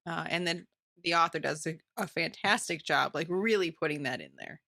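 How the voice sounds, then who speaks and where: A woman's voice: low-pitched, moderate pace, gravelly, pushed and bright, no echo, clean studio sound. A woman co-hosting a podcast, talking in a casual, chatty book club style. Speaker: podcast co-host.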